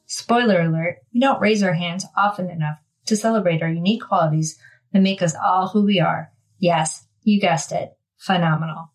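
A woman's voice: fairly low pitch, normal speed, no room sound, low-quality recording. Enthusiastic woman podcast host. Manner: enthusiastic, warm praise of guest. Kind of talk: scripted podcast intro and interview.

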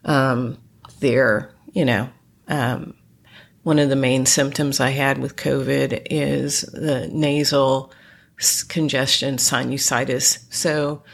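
A woman's voice: extremely low pitch, steady tone, slow pace, vocal fry. A woman hosting her podcast alone, sounding congested and nasal as she recovers from illness, rambling with many ums and restarts. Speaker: podcast host. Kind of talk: casual solo podcast talk.

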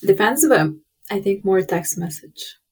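A woman's voice: mid-range voice, animated, unhurried, darkish tone, slightly rough. A woman, a native Arabic speaker, giving casual, thoughtful and somewhat hesitant answers as an interview guest. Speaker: podcast guest, tech entrepreneur.

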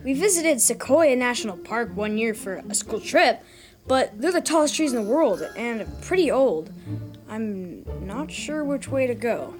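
A woman's voice: a little high, lively intonation, unhurried, faint music behind. A woman playing a hungry, dramatic schoolboy adventurer in a children's audio drama, given to grumbling and exclaiming. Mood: matter-of-fact.

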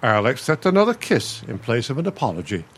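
An actor with an American accent playing a grumpy, needling older husband in a radio play, with a dry, sardonic manner. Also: male voice, mid-range voice, sing-song, brisk, a bit bright, slightly rough. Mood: wry.

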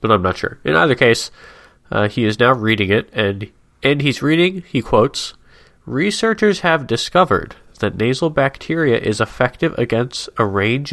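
A man's voice: lowish voice, a bit bright, no echo, clean studio sound. A man co-hosting a casual podcast, stuffy-nosed, with a wry, rambling way of describing things and laughing easily. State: stuffy nose. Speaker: podcast co-host. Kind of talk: casual chat, describing a comic.

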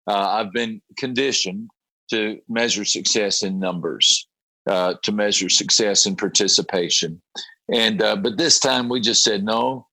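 A man, a pastor, with a raspy voice after a late night, talking in halting conversation, often repeating words and clearing his throat. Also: lowish voice, pushed and bright, dry recording, low-quality recording. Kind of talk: conversational interview about a prayer group.

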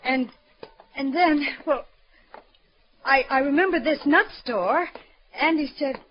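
An actress playing an anxious, pleading young wife from out of town in a 1940s radio drama, stammering and hesitant. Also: female voice, high voice, takes it slowly, a crowd in the background. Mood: distraught, pleading. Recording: dull old radio recording.

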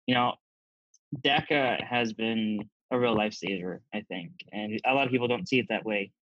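A man's voice: fairly low pitch, normal speed, fairly bright tone, dry recording, highs cut off. A young man from Zambia raised in the Midwest, talking easily in a casual interview with lots of "you know". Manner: easygoing, many fillers like "you know".

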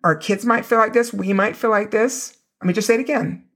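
A woman with a hoarse, raw voice, hosting a casual religious podcast and rereading quoted passages for emphasis. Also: medium pitch, fairly quick, a bit bright. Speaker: podcast host.

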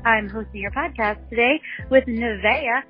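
A woman's voice: on the high side, normal speed, soft background music. Giggly woman host on a tinny line. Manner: giggly, teasing. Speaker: podcast host. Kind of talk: casual interview chat. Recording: tinny phone-line sound.

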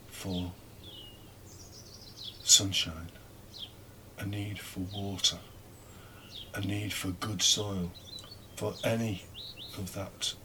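A man giving a reflective homily, speaking deliberately with pauses and rhetorical questions. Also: low voice, noisy background. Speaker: preacher giving a scripture reflection.

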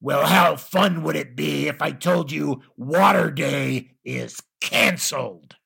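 A man voicing a grumpy, gloating monster villain for a children's story, raspy and strained, with theatrical taunts. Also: fairly high pitch, slow, fairly bright tone, voice pushed up, full character voice. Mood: grumpy disdain.

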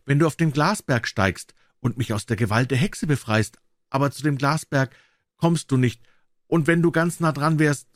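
Young enchanted princess for a storybook, played by a male reader: high, earnest, plaintive, softly urgent. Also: moderate pace, no echo, clean studio sound, close to an everyday voice. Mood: urgent warning. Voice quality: soft. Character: pleading young enchanted princess, captive of a witch. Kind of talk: fairy tale character voice.

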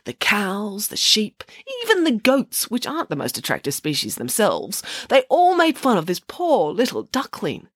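A woman's voice: mid-range voice, huge pitch swings, normal speed, hardly any pauses, husky, no echo, studio quality, exaggerated voice. A woman voicing a firm, theatrical nanny telling the children a fairy tale. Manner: firm, matter-of-fact storyteller. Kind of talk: audiobook character voice.

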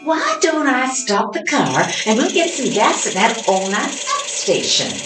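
An amateur actress playing a detective's practical, mildly teasing gal in an old-time style radio play. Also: female voice, on the high side, wildly swooping pitch, unhurried, music in the background. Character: detective's game, practical gal, driving along. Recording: echoey, distant, noisy with mechanical rattle.

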